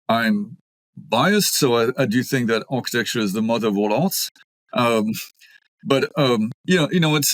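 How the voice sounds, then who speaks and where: Man, middle-aged, from Belgium, French-accented English, hesitant, thoughtful pauses, very dry sound. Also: mid-range voice, a bit bright, dry recording, poor audio. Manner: thoughtful, many ums and restarts. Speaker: architect guest. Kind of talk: conversational interview.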